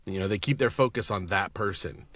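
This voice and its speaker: A man's voice: fairly low pitch, a little fast, a bit bright, no echo. A man telling anecdotes in casual podcast chat as a guest voice actor.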